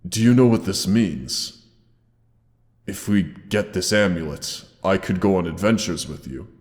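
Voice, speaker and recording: A gentle old male dragon, acted by a man in an audio drama, very low and unhurried. He speaks with noble, formal tenderness and leaves dramatic pauses. The voice rings in long, cavernous reverb. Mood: excited, urgent. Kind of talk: roleplay audio drama, scripted monologue.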